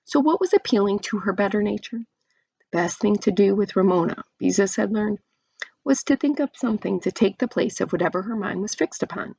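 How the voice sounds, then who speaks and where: Female read-aloud teacher: lively, varied intonation, gravelly edges, children's storybook narration, close dry sound. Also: medium pitch, fairly quick, no echo, dull audio.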